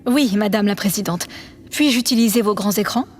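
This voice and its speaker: A woman's voice: medium pitch, a little fast, darkish tone, lightly acted. A woman playing an earnest, polite chief engineer aboard a spaceship in an audio drama, careful and matter-of-fact. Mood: polite, businesslike. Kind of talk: scripted audio drama acting. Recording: clear background noise.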